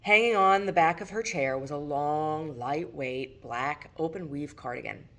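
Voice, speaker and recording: A woman's voice: sits low, measured pace, fairly bright tone, slight background noise. A woman narrating her own work of fiction as an audiobook reading. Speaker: author reading own novel aloud.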